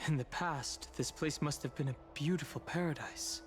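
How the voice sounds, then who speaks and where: Male actor as a level-headed young traveler; measured, a touch high. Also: slight background noise, natural voice. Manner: measured, polite and reflective. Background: game music.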